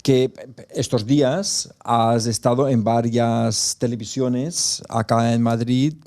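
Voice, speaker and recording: A male television interviewer with a mellow, darker voice. He asks his questions in a measured way, prompting the guest along. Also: medium pitch, steady tone. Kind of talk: TV studio interview.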